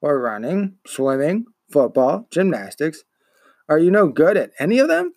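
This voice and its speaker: A man voicing a gruff, scornful grown man from a storybook, questioning bluntly and mockingly. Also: on the high side, big pitch swings, moderate pace, darkish tone, dry recording, clean studio sound, pitched above the performer's own voice. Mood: scornful, probing. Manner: interrogating, mocking.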